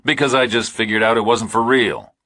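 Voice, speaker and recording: A man's voice: mid-range voice, fairly even intonation, brisk, pushed and bright, no echo, studio quality, pitched above the performer's own voice. A man voicing a blunt, commanding investigator in a thriller audiobook, terse and direct. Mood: probing.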